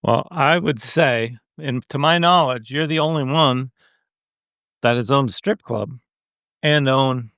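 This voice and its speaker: A man hosts a relaxed interview and talks in an easy, affirming way. His voice is clear and smooth, and the recording is dry with little room sound. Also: mid-range voice, a little slow, dry recording. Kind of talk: casual interview chat.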